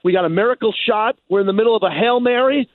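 High-pitched man on a phone-like line. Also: fast talker, no echo. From New York. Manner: emphatic, repeats phrases for effect. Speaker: sports radio host as guest. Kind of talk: animated sports talk interview. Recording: phone-like thin band.

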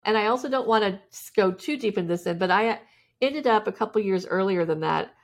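A woman's voice: mid-range voice, lively intonation, fairly quick. A woman guest candidly telling her life story in a casual interview, often laughing as she talks.

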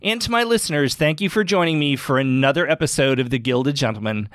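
A man hosting an interview podcast, speaking in a courteous, measured and fairly formal way. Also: a little high, a bit bright.

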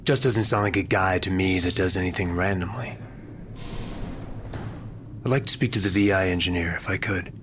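An actor playing a composed, polite investigator who probes carefully in a sci-fi audio drama. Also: male voice, faint music behind. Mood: probing. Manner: polite, measured, inquisitive. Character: composed, probing male government investigator. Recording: loud rumbling industrial ambience.

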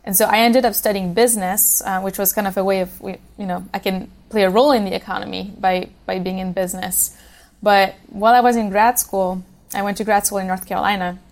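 A young woman from Switzerland, a podcast guest who talks in a relaxed, conversational way with frequent ums. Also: medium pitch, a little fast. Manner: conversational, uses fillers like um and you know. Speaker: guest, policy program manager. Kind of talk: casual interview talk.

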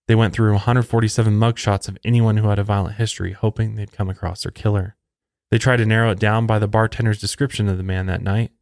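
Low-voiced American man with creaky edge. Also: a little mellow, no echo, clean studio sound. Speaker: true crime podcast host. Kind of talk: scripted narration.

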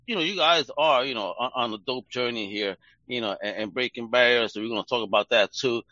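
A man's voice: medium pitch, brisk, pushed and bright, dry recording. A man hosting a podcast interview in a slangy, hyped-up way and laughing often. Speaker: podcast host. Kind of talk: casual interview chat. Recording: dull, lo-fi audio.

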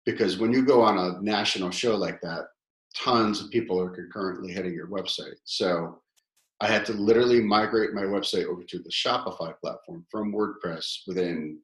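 Deep-voiced man in his fifties, roomy sound. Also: moderate pace. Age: 50s. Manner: animated, rambling storyteller with many fillers. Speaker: guest, toy company founder. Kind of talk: casual interview storytelling. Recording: echoey room.

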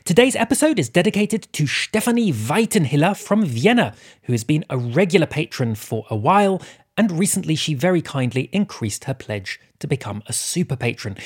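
A man with a fairly high, slightly rough voice reads a podcast introduction. His delivery is highly animated as he addresses listeners directly and appreciatively. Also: moderate pace. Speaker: podcast host. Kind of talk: scripted show introduction.

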